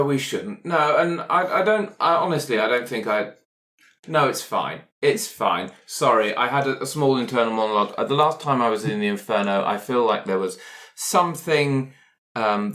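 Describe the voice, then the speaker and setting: A man's voice: mid-range voice, fairly bright tone, close to an everyday voice. A man improvising a fussy, anxious, polite otherworldly character in a roleplay, hesitant and rambling, often interrupting himself. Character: fussy, anxious, polite otherworldly being who loves his cat. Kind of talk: improvised roleplay for an actual-play podcast.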